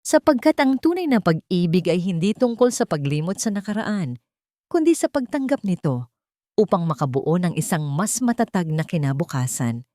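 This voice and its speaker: A woman narrating a scripted dramatic audiobook story with measured, dramatic phrasing. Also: on the low side, sing-song, dark tone, dry recording, clean studio sound.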